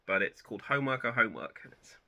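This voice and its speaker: A man in his thirties hosting a book channel, chatting casually about personal favourites in a relaxed, rambling way that often corrects itself. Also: medium pitch, fast, vocal fry, lo-fi sound. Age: 30s. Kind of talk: casual personal chat to camera.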